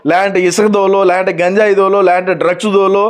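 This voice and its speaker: A man's voice: high voice, flat delivery, fast talker, smooth. A man talking as a political commentator in a satirical style.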